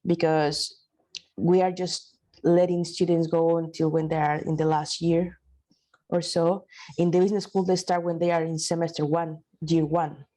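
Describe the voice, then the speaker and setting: This is a woman from Mexico talking in an online workshop discussion. She speaks in a mellow, lowish voice. She is hesitant and restarts often. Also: little pitch movement, normal speed. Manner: hesitant, many restarts and fillers. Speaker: workshop participant, university staff.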